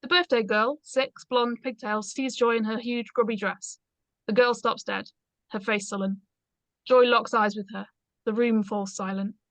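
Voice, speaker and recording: A woman's voice: on the high side, steady tone, a little slow, no echo. A woman reading stage directions aloud as scripted narration in a screenplay read-through.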